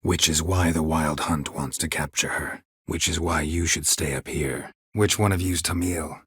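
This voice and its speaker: An actor playing a stoic, world-weary monster hunter in a video game, with a gravelly, husky voice and terse, dry, deadpan delivery. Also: male voice, a bass voice, normal speed, no room sound, exaggerated voice. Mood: matter-of-fact.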